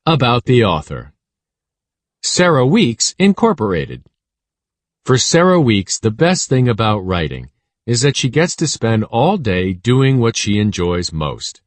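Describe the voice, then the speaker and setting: A man reading a children's story aloud in an expressive, teacherly way. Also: mid-range voice, a little slow, no room sound. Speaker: audiobook narrator for children. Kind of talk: scripted read-aloud storytelling.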